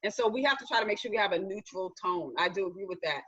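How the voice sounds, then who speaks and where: Earnest female host with a bright voice, asks couples questions; dull recording. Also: medium pitch, lively intonation, quick pace, slightly rough. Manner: earnest, reflective, leads with questions. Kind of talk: guided discussion with couples.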